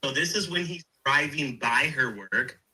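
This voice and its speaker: A man talking casually and excitedly, explaining things insistently and repeating himself to get his point across. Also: fairly high pitch, moderate pace, husky, rough recording.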